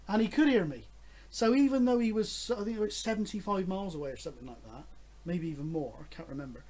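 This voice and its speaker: A man in his fifties with a fairly high voice chats to his viewers about his hobby. His delivery is rambling and hesitant, with an enthusiastic, conversational feel. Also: a little mellow. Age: 50s. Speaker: host of a radio hobby vlog. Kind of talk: casual, rambling chat to camera.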